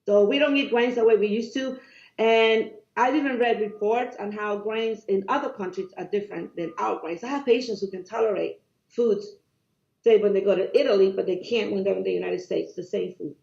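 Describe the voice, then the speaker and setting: A woman with a Cuban accent explains things emphatically, and a gravelly fry runs through her speech. The audio is dull and lo-fi, and it echoes around the room. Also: medium pitch, fairly quick, darkish tone. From Cuba. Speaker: guest doctor. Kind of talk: conversational interview.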